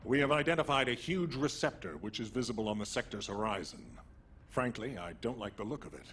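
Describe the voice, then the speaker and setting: A man's voice: mid-range voice, expressive, fairly bright tone, a little hiss or hum, natural voice. Man as a composed, formal military commander. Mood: earnest, composed. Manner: measured, formal, earnest. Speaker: commander giving a briefing. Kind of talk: scripted game dialogue, formal briefing.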